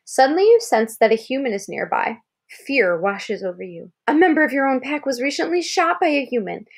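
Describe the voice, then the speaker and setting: An American woman with a fairly high voice reads a children's article aloud. She tells it expressively, like a parent to a child. She breaks from the text to explain things simply and ask questions. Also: vocal fry. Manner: expressive storytelling, pausing to check understanding with questions.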